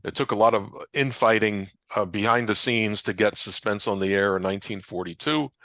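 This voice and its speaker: A man speaking as a researcher and guest in a conversational panel discussion, explaining things in a halting way. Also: lowish voice, a bit bright, no room sound. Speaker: radio historian and researcher, interview guest.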